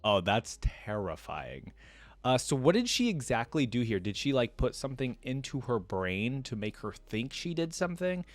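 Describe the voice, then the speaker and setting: A man's voice: mid-range voice, sing-song, moderate pace, no room sound. A man hosting a reaction video, reading a story aloud and breaking in with informal, exclaiming commentary. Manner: informal, exclaiming, interjecting his own commentary.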